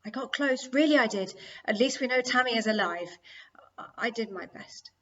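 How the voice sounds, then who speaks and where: A woman's voice: fairly high pitch, normal speed, fairly bright tone. An earnest young boy read by a woman speaks as a storybook hero. He sounds frightened and apologetic, with a hesitant manner. The audio is slightly dull. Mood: sheepish, sorry. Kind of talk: audiobook character dialogue.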